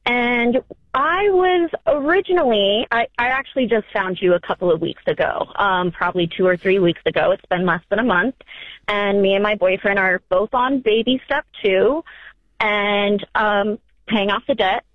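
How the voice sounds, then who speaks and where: A woman's voice: medium pitch, normal speed, no echo. A woman in her early twenties calling in to a radio advice show, explaining her situation conversationally in a hesitant, rambling way. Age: early 20s. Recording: tinny narrow-band phone line.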